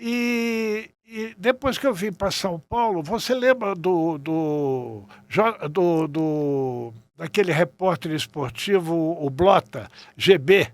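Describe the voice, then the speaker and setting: A man's voice: sits high, lively intonation, slow pace, few pauses, dry recording. A man, telling anecdotes conversationally as a podcast guest. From Rio de Janeiro, Brazil. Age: 70s. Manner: conversational storytelling, often checks in with "né". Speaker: podcast guest, journalist and writer. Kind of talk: casual interview, reminiscing anecdotes.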